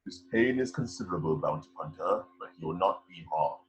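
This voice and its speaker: A man playing a menacing dark lord of science fiction in an amateur script read, commanding and coldly formal. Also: low-pitched, expressive, brisk. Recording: dull lo-fi, noisy microphone.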